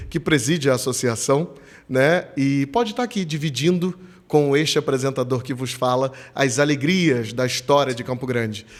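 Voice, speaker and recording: A man hosting a school podcast, speaking in an emphatic, lively presenter style. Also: mid-range voice. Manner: lively, emphatic presenter delivery with drawn-out openings. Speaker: podcast host.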